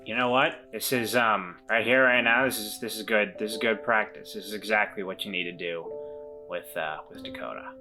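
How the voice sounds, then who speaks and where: A woman voicing a remorseful weasel man who once ran away from home, speaking in a halting, reflective way and gently encouraging others. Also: very deep voice, over music. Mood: warm.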